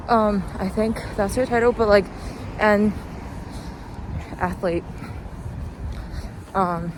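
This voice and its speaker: A chirpy woman rambles casually, as if to friends, at an unhurried pace with a medium pitch. She halts and restarts her phrases and is quick to giggle. She is recorded outdoors on the move, with cars and street noise clearly behind her. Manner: giggly, chuckles often between phrases; halting, peppered with "like". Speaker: host of a personal walk-and-talk podcast. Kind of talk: casual rambling solo chat. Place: outdoors, walking along a street. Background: passing cars, urban outdoor ambience.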